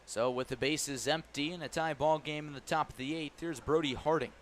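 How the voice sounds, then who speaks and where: A man calling a baseball game live on the radio as the play-by-play announcer, animated on big plays and playful with his phrasing. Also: fairly high pitch, a little fast, slight background noise. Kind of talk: live radio sports commentary. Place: live at a ballpark broadcast position.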